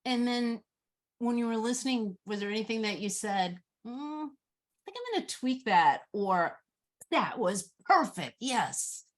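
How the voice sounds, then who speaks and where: A woman moderating an online Q&A informally, thinking aloud in a halting way as she puts questions. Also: on the high side, animated. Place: online video call.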